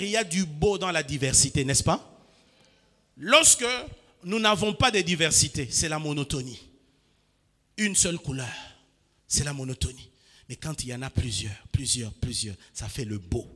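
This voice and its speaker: A man from DR Congo preaching a sermon, moving from quiet asides to shouted calls to the congregation. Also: fairly high pitch. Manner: fervent preaching with call-and-response, swinging between quiet asides and shouts. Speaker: pastor. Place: church. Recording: church hall reverb.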